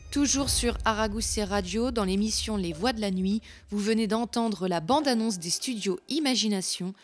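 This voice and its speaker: A woman's voice: mid-range voice, normal speed. A woman hosting a storytelling show, narrating scripted folk legends in a theatrical, literary manner. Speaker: host and storyteller.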